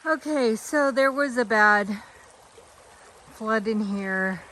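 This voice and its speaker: A woman's voice: on the high side, slow, a bit bright. A woman vlogging casually on a mountain trail outdoors, rambling and thinking aloud into a handheld camera. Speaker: thru-hiker vlogger.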